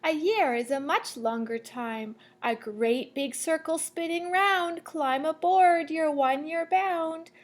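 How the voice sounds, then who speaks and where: A woman with a high voice reads aloud to children at a slow, deliberate pace. She has the manner of a teacher sharing a picture book, giving the lines a sing-song, storytelling shape. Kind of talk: children's read-aloud storytelling with asides to listeners.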